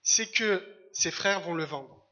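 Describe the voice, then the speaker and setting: A man from Algeria preaching a church sermon in short phrases, pausing for an interpreter. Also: high voice, expressive, bright, forceful tone, lo-fi sound. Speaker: guest preacher. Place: church service.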